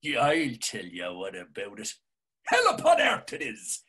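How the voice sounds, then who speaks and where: An actor with an Irish accent playing a fiery nationalist Dublin drinker who rants in a husky voice, read over a video call. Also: male voice, mid-range voice, wildly swooping pitch, a little slow, pushed and bright, exaggerated voice. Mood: ranting. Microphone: video call webcam.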